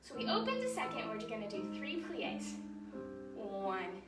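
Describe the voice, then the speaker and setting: A woman with a high, very lively voice leads a children's dance lesson. She is encouraging and explains each thing simply, often checking in with her young pupils. The sound is roomy and echoing, picked up at a distance from the mic. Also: measured pace, loud music behind the voice. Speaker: children's ballet teacher and storytime host. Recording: roomy echo, distant mic, faint noise.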